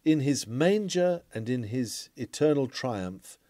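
Earnest, mellow man reading a sermon. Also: mid-range voice, animated, slow, no echo, clean studio sound. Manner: earnest, declamatory, formal. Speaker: sermon reader. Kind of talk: read-aloud classic sermon, oratorical.

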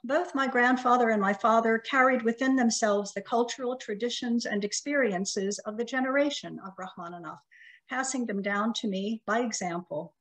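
A middle-aged woman whose first language is Russian, giving a prepared lecture in a measured, careful way, her phrases trailing off softly. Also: on the high side, poor audio. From United States.

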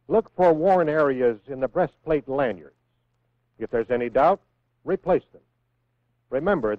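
An American man reading scripted instructions in a measured, clearly enunciated way, as the narrator of a 1960s military training film. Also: a little high, no echo. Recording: phone-like vintage 1960s film soundtrack.

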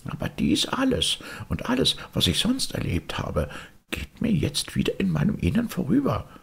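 A man's voice: medium pitch, wildly swooping pitch, normal speed, creaky, fairly bright tone, breathy edge, voice pushed up, exaggerated voice. A man voicing a small, lonely, restless tin soldier from a storybook, in a plaintive, lamenting and theatrical way. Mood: wistful, chattering. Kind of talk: storybook character voice.

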